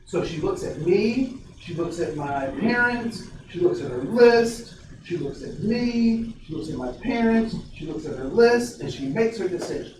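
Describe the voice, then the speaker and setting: A man's voice: sits high, unhurried, hardly any pauses. A man in his forties from Ohio, leading a diversity workshop with energy and quizzing his audience. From Ohio, USA. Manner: energetic, questions the audience, frequent restarts. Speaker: diversity trainer. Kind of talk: interactive workshop talk. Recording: very echoey, distant, faint noise.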